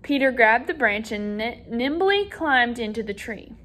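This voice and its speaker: A woman reading a children's story aloud as a teacher, with expressive, dramatic emphasis for young listeners. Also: a little high, a little slow. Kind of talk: animated storybook read-aloud.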